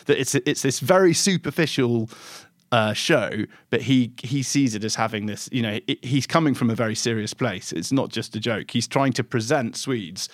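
A man's voice: medium pitch, normal speed, no echo, studio quality. A man in a casual podcast discussion, explaining things in an earnest way as a panelist.

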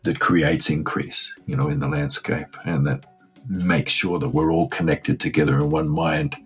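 A man, a scholar explaining ideas thoughtfully, pausing and restarting as he searches for words. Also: very deep voice, fairly even intonation, husky. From Australia. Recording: faint music underneath.

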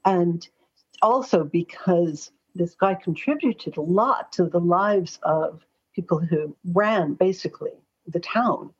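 A mature American woman with a husky voice that sits a little low. She talks as an interview guest, plain-spoken and anecdotal. The recording is a bit muffled and lo-fi. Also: takes it slowly. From Los Angeles, United States. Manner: forthright, chatty, anecdotal. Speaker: astrologer and columnist, interview guest. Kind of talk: conversational interview storytelling. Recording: slightly dull, lo-fi audio.

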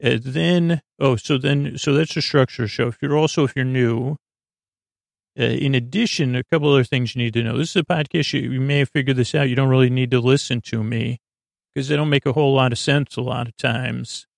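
A man with a slightly low, gravelly voice full of fry hosts a bedtime podcast, recorded very dry. He meanders haltingly, losing his thread and circling back. His manner is whimsical and reassuring. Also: fairly even intonation, no echo. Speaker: podcast host. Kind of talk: rambling bedtime podcast monologue.

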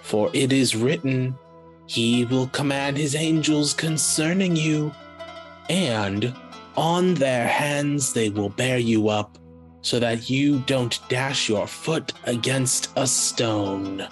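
A man voicing the devil as a sly tempter in a dramatic scripture reading, with slow, drawn-out, deliberate delivery. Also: mid-range voice, faint music behind, close to an everyday voice. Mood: tempting.

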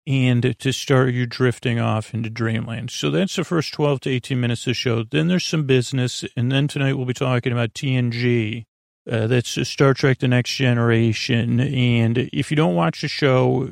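A man hosting a bedtime podcast in a rambling, improvised monologue, halting and stammering as he meanders into absent-minded, self-deprecating tangents. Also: medium pitch, creaky, no echo, clean studio sound. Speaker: host of a bedtime sleep podcast.

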